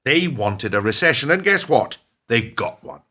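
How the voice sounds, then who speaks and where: Guy acting scheming cynical businessman in a radio play, sharp edgy voice, really up and down, scornful, theatrical. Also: medium pitch, sing-song, normal speed. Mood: scornful, exasperated.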